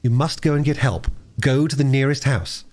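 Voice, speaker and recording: Guy as a capable storybook big sister, earnest, gentle, raised pitch. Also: moderate pace, close to an everyday voice. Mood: urgent.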